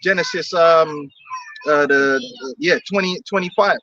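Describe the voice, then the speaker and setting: A man's voice: fairly high pitch, measured pace, pushed and bright, lo-fi sound, a little hiss or hum. A man preaching outdoors on the street, emphatic and repetitive, often laughing between his points.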